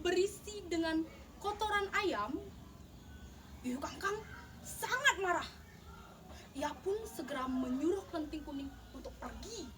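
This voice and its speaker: A young woman telling a folktale in a theatrical, emphatic storytelling style, as if in a contest. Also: very high voice. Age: young adult. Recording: distant mic, clearly noisy background.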